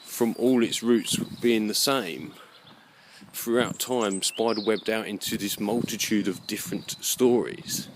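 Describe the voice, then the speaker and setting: A man giving a rambling, unscripted monologue in a halting, musing way, often repeating his phrases. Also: lowish voice, slight background noise. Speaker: vlogger.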